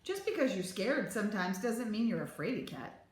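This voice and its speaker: A woman's voice: mid-range voice, normal speed, natural voice. A woman reading a storybook, voicing a kind, reassuring male fire captain with an encouraging manner. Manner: encouraging, calm. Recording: roomy echo.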